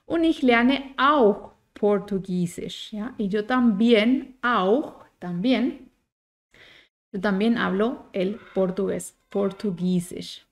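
A woman, giving a language lesson with deliberate enunciation and frequent little check-in tags. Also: medium pitch. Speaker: German teacher. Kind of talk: bilingual language lesson, explaining and modelling phrases. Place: small indoor room at home.

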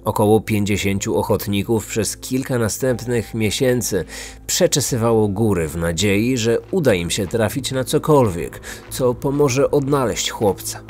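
A man's voice: fairly low pitch, measured pace, a little mellow, a little hiss or hum. A man narrating a scripted true-crime podcast as its host, in documentary style. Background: music.

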